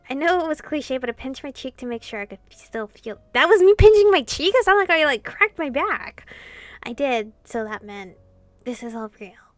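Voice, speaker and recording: A woman's voice: high voice, very animated, moderate pace, no echo, soft background music. A woman gamer reading a visual novel aloud expressively, in the style of a casual playthrough.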